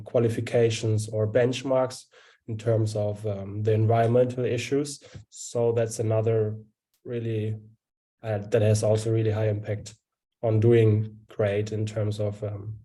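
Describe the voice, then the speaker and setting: A man, a student presenter, answering a seminar question on a video call, halting and full of fillers. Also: fairly low pitch, warm tone.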